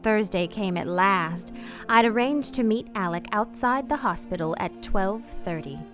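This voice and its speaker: A woman's voice: medium pitch, a little slow, over music, close to an everyday voice. An actress in a radio play playing an anguished, guilt-ridden married woman, genteel and emotional in manner. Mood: wistful confession. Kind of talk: radio play acting and narration.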